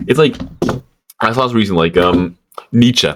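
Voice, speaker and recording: A man's voice: on the low side, measured pace, noisy background. A young man from Switzerland ranting casually to camera, rambling with stammered restarts, lots of fillers and swearing. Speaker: vlogger.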